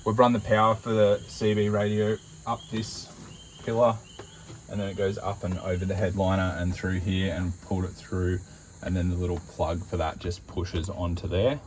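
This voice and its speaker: A man's voice: low voice, flat delivery, normal speed, slight background noise, poor audio. An Australian man casually explaining a hands-on DIY install in a laid-back, matter-of-fact way. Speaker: DIY van-build vlogger.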